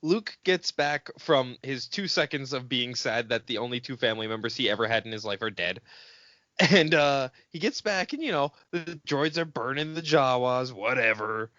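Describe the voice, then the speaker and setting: A man with a slightly high, very animated voice banters on a fan podcast. He is nitpicky and casual about swearing. The sound is dry and a touch dull. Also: moderate pace, no echo. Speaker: podcast co-host.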